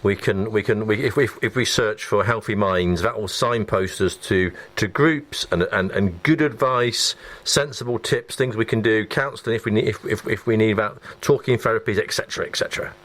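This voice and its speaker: A man's voice: on the low side, moderate pace, few pauses, a bit bright. A man co-hosting a casual radio interview, talking emphatically and repeating his phrases. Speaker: radio co-host.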